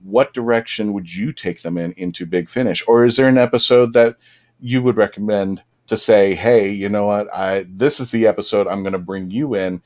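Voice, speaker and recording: A man's voice: lowish voice, moderate pace, a little mellow. A man hosting a casual fan podcast discussion, talking in a chatty, enthusiastic way. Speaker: podcast host.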